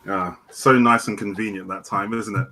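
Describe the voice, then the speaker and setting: A man's voice: on the low side, fairly even intonation, moderate pace, a crowd in the background. A man from the United Kingdom and guest cosplayer, chatting on a video call with dry, wry humor.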